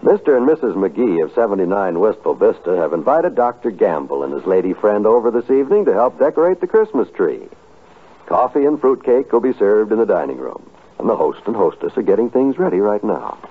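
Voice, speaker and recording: Male American announcer, animated and slightly husky, polished 1950s broadcast style, tinny old recording. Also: lowish voice, fairly quick. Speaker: radio announcer. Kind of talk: 1950s scripted radio announcing.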